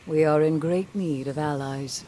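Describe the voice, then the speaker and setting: An actress playing a solemn, brooding mystic scholar in a video game, with formal, theatrical, dryly sardonic phrasing. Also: female voice, sits low, measured pace, background noise. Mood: weary, sardonic. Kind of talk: video game cutscene acting.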